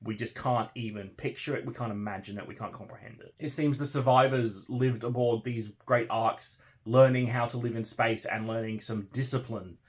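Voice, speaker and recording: A man's voice: mid-range voice, steady tone, normal speed, few pauses. A man hosting a book read-along vlog, talking conversationally to viewers as he recaps and muses. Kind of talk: casual book discussion to viewers.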